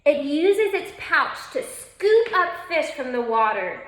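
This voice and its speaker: A woman's voice: high voice, sing-song, slow, fairly bright tone. A woman teacher reading aloud to children, with informal asides, addressing her young listeners directly in an instructive way. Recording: roomy, echoing indoor sound.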